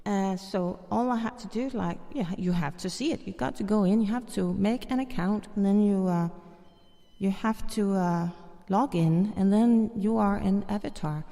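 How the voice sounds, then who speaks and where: A woman's voice: medium pitch, normal speed, gravelly, dark tone. Danish woman telling her story, echoey. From Denmark. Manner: chatty, laughs often, many fillers and restarts. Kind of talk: casual storytelling.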